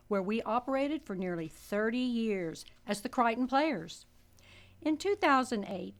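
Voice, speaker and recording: A woman announcer reading scripted promotional narration. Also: on the high side.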